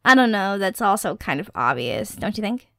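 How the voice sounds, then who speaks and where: A woman's voice: medium pitch, sing-song, moderate pace, creaky, sharp tone, no room sound, clean studio sound, exaggerated voice. A young woman playing a dorky, enthusiastic horror-nerd girlfriend in an ASMR roleplay, rambling casually and musing aloud. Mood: musing, playful. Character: dorky, Halloween-obsessed girlfriend, nerdy and enthusiastic. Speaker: voice actor in audio roleplay.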